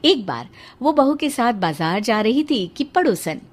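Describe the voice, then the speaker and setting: A woman's voice: on the high side, sing-song, unhurried. A woman reading scripted story narration.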